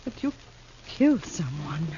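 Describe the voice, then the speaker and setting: An actress playing a lonely, compassionate frontier widow in a 1950s radio drama, speaking gently and hesitantly. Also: female voice, low-pitched, very animated, a little slow. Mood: sad, tender. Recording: muffled, noisy 1950s radio recording.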